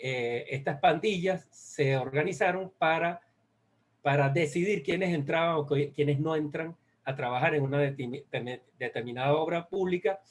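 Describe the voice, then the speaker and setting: Halting Venezuelan man, higher-pitched voice. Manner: halting, searching for words, repeating phrases. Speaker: guest speaker. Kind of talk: academic presentation on a research study.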